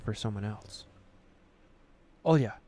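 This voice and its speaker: A man's voice: on the low side, expressive, slow, mellow. A man hosting a gaming video, reading on-screen story text aloud in a dramatic way with casual reactions.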